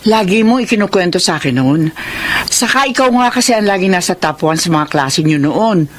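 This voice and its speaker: A woman's voice: fairly low pitch, animated, moderate pace, slight background noise, close to an everyday voice. An actress playing a mother who questions her grown son in a radio drama. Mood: probing.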